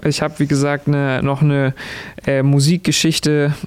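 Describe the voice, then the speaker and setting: A man's voice: fairly high pitch, completely flat delivery, measured pace, a little mellow, clear, resonant tone, no echo. Musician guest, man, ecuador-born, reflective and searching for words, dry radio studio sound. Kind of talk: casual radio interview.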